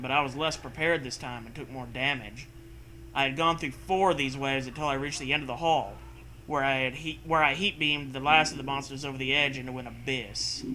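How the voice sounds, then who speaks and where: A man's voice: mid-range voice, bright, forceful tone, slight background noise, rough recording. A man co-hosting a comedic reading of a story, hammy and dramatic, breaking off for casual joking asides. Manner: hammy, breaks off to riff and joke. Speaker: co-host reading a story aloud.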